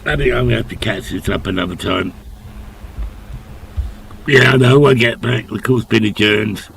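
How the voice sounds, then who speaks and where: An older man playing a roleplay game and chatting casually; he is coming down with a cold and talks in a tired, unhurried way with mumbled asides. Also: fairly low pitch, vocal fry, a bit bright, slight background noise. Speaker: roleplay streamer.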